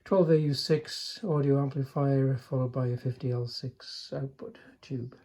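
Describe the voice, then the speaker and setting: A man's voice: mid-range voice, fairly even intonation, unhurried, dark tone. An older man giving a casual hands-on demonstration, speaking in an understated, hesitant way with trailing remarks. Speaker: radio repairer demonstrating a restored chassis.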